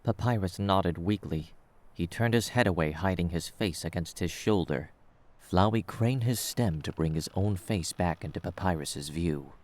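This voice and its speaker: A man with a low voice narrates a piece of fiction. He reads scripted prose as the storyteller of an audio drama. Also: normal speed, a little mellow.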